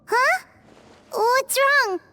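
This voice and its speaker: An actress voicing a tiny, childlike, curious companion creature in a video game. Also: female voice, extremely high pitch, expressive, very slow, pushed and bright, full character voice. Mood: puzzled.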